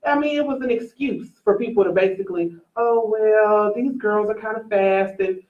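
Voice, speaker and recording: A woman's voice: medium pitch, normal speed. A woman in her twenties chatting casually as a talk show host, with blunt, emphatic, slangy opinions. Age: 20s. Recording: roomy, dull, distant-mic recording.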